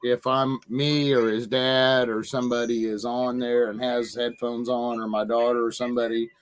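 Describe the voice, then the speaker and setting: Older male stream host, mid-pitched, rambling, hesitant delivery. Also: little pitch movement, moderate pace. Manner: rambling, hesitant, many fillers. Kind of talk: casual chat on a live stream. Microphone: video call.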